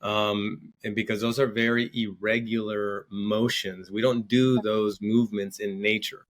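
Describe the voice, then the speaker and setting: A man's voice: fairly low pitch, level tone, a little slow. A man speaking as a podcast guest, explaining things conversationally and often repeating words as he goes. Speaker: podcast guest, entrepreneur and coach.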